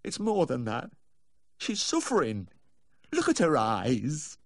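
A man's voice: on the high side, huge pitch swings, normal speed, no room sound, studio quality, voice pushed up. A man voicing a tearful, fretful little Yorkshireman, a doting, tipsy dog owner, in a quavering audiobook character voice. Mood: anxious pleading. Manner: wailing, quavering, gasping.

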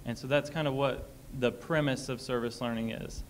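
A man's voice: medium pitch, steady tone, normal speed, a little hiss or hum, rough recording. A man speaking on a college panel in an auditorium, explaining a program with frequent ums and reading a short passage aloud. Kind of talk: panel discussion talk.

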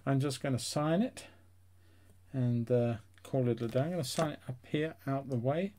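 A man's voice: mid-range voice, normal speed, dark tone. A man in his sixties hosting a painting tutorial, chatting casually and encouragingly to his viewers. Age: 60s. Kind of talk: casual instructional chat to viewers.

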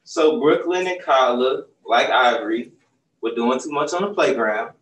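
A man's voice: mid-range voice, normal speed. A young man, a children's book author, talking casually through a face mask while seated in a bookstore. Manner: relaxed, conversational, speaks through a face mask. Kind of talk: casual storytime talk to kids. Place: bookstore, seated on a stool among shelves. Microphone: far camera. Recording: roomy distant sound on a video call.

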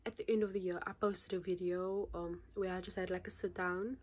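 A nineteen-year-old woman talking casually to camera in a vlog, chatty and animated, with constant fillers, filmed at arm's length in a small bedroom. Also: fairly low pitch, brisk. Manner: chatty, animated, gestures a lot, says "like" constantly. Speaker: YouTuber. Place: small bedroom in front of a patterned curtain. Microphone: phone or camera at arm's length.

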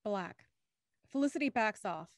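A woman's voice: mid-range voice, expressive, measured pace, no room sound, studio quality. A woman hosting an online script reading and narrating scene directions. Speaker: host reading stage directions. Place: home, on a video call.

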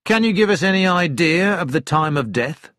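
A man's voice: on the high side, lively intonation, moderate pace, fairly bright tone, no echo, studio quality, voice pushed up. A man voicing a civil, authoritative police inspector in an audiobook, with a businesslike, polite manner. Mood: brisk, businesslike.

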